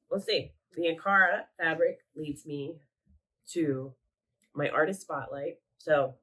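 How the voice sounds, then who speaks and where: Congested, nasal woman chatting casually. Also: low voice. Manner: chatty, rambling, self-correcting. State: sick, congested. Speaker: craft vlogger. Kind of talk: casual show-and-tell vlog. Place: at home.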